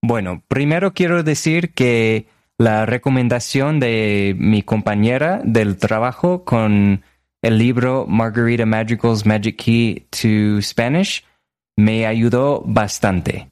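This is a man in his thirties with a fairly low voice. He speaks slowly and haltingly in casual conversation, searching for words. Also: darkish tone. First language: English.

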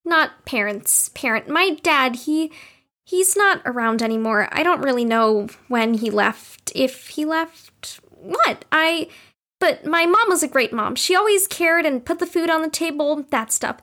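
A woman's voice: sits high, lively intonation, unhurried, sharp tone, exaggerated voice. A woman playing an earnest, nosy young woman, a little defensive, in an audio drama. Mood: defensive, hesitant.

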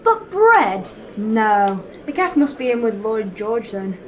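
A woman's voice: fairly high pitch, big pitch swings, slow, distant mic, poor audio. A woman playing a wry, chatty striker on a 1919 picket line in an audio drama. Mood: incredulous. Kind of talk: scripted audio drama dialogue.

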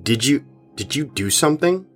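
An actor playing a suspicious, confrontational rock band member in an audio drama, speaking in an insistent, accusing way. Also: male voice, mid-range voice, very animated, normal speed, faint music behind, close to an everyday voice.

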